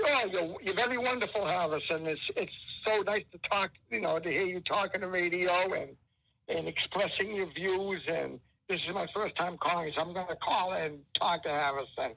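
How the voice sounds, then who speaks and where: A man's voice: high voice, normal speed, creaky, pushed and bright. A man calling in to a sports radio show, with a scratchy voice and a rambling, effusive way of talking full of fillers. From Methuen, Massachusetts. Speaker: caller.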